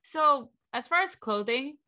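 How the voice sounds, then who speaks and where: A woman's voice: high-pitched, animated, unhurried, pushed and bright. Young woman chatting hesitantly on a podcast. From Vietnam. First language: Vietnamese. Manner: hesitant, many fillers and restarts. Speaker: podcast co-host. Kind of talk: casual podcast chat.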